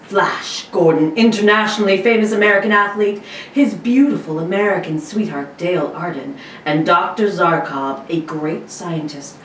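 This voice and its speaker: A woman's voice: lowish voice, moderate pace. A woman co-hosting a podcast and reading narration as a mock radio announcer. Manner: playful, dramatic reading. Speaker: guest co-host and announcer. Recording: roomy, distant mic, faint noise.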